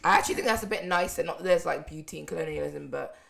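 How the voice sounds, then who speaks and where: Young female co-host, gravelly fry, lowish pitch, lively casual chat. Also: racing. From United Kingdom. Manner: animated, laughs often. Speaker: podcast co-host.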